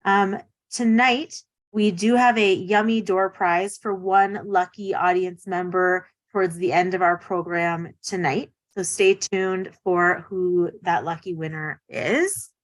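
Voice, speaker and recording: A woman's voice: fairly low pitch, steady tone, slow pace, no room sound. A woman hosting a virtual event, reading welcomes and thanks in a friendly way over a video call. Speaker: event host.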